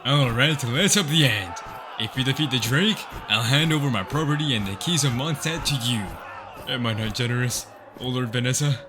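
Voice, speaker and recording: A man's voice: mid-range voice, expressive, normal speed, noisy background, lightly acted. A man voicing a pompous, cruel lord with a grandiose, taunting manner in a theatrical manga dub. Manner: grandiose, mock-generous, taunting. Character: pompous, cruel male lord sneering over arena captives.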